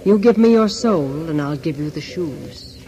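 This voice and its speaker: A man's voice: a little high, lively intonation, unhurried, warm tone, slight background noise, poor audio. An actor playing a sly psychic shopkeeper in a radio drama, insinuating and theatrical as he bargains for souls. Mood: tempting.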